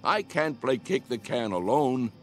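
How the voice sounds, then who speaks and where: A man's voice: medium pitch, animated, a little slow, lightly acted. An actor playing a spirited, pleading old man in a radio drama, earnest, coaxing and dreamy in his delivery. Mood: urgent pleading.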